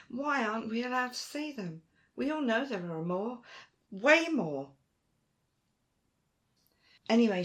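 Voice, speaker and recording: A woman playing a proud, stubborn archaeologist in an audio roleplay, with emphatic, expressive delivery. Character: Dune, proud, determined female archaeologist, stubborn and warm to friends. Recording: roomy, faint rumbling noise.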